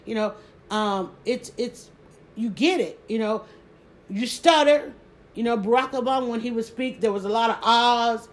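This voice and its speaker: A woman with a Southern accent chatting casually on a live stream, talking quickly and restarting her sentences often. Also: fairly high pitch. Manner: rapid, stumbling restarts, animated emphasis. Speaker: social media creator.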